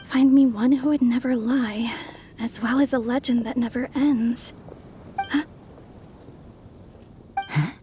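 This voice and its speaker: A woman playing a polite, mysterious young woman traveler in a video game, speaking in a courteous, measured way. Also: high voice, a little mellow, slight background noise. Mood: hushed, reciting, then guarded, cool.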